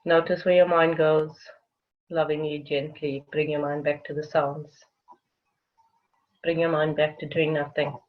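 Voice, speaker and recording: Meditation guide, mid-pitched, calm and unhurried, long pauses, dull lo-fi sound.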